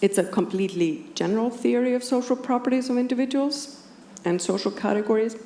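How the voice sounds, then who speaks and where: A woman from Iceland with an Icelandic accent, a native speaker of Icelandic, giving a measured, precise academic lecture at a conference. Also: fairly high pitch, warm tone. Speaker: academic philosopher presenting at a conference. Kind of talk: academic lecture, partly scripted. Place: indoor conference room with audience. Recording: roomy conference hall, clearly audible background noise.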